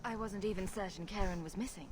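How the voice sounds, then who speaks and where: An actress playing a composed adventurer heroine in a video game, speaking calmly and directly. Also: female voice, medium pitch, fast, close to an everyday voice.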